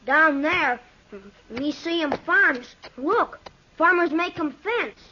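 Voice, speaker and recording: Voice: high voice, takes it slowly, bright, forceful tone, slight background noise, full character voice. A performer voicing an eager young boy sidekick who speaks clipped, broken English in 1940s radio western style. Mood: watchful, excited. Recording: muffled old radio broadcast recording.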